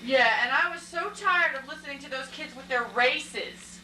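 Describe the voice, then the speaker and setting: A woman's voice: high-pitched, normal speed, sharp tone, soft background music. A woman voicing a wry, weary suburban mother in an amateur puppet show. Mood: matter-of-fact. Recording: echoey, noisy room from far off.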